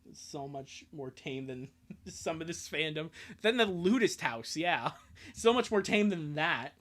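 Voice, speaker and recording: A male streamer with a fairly high, sharply bright voice. He comments casually as he reads for his live audience. His delivery is sarcastic and exasperated, with loose, sweary phrasing. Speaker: streamer and host of a live reading.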